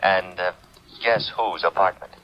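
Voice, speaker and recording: Wisecracking male legman, lowish voice, breezy and slangy, dull vintage radio sound. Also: fairly even intonation, a little slow. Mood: brisk, urgent. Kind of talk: old-time radio drama acting. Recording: dull old radio recording.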